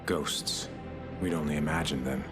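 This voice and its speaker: Casting: resolute young soldier, rock-bottom deep voice, solemn war-game narration over prominent music. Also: male voice, fairly even intonation, normal speed. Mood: grave, determined. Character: grave, determined young male soldier narrating his war. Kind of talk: dramatic scripted narration and military dialogue.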